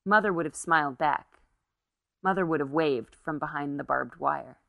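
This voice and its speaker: A woman's voice: low-pitched, moderate pace, vocal fry, fairly bright tone, no echo. A woman narrating a literary short story as its reader.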